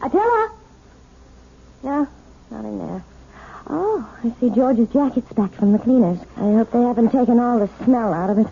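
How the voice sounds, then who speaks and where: High-voiced, fluttery housewife. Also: female voice, animated, moderate pace, creaky, long pauses. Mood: searching, cooing. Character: outwardly sweet, secretly vengeful housewife with a dog. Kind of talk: 1970s radio drama acting. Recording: muffled, noisy old radio recording.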